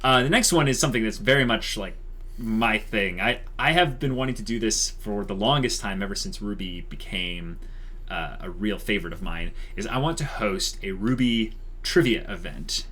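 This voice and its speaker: A man's voice: on the low side, animated, normal speed, sharp tone. A young man co-hosting a channel announcement, speaking casually and conversationally with plenty of likes and you-knows. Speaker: channel co-host.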